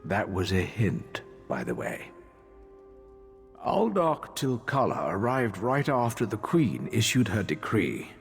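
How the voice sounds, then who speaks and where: Casting: a sleepy, irritable game monk, weary and dismissive, lively mid-range pitch, reverberant hall with music. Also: male voice, slow, gravelly, full character voice. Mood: irritated, sleepy, then confiding. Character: drowsy, grumpy male monk wanting his nap. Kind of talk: video game character dialogue.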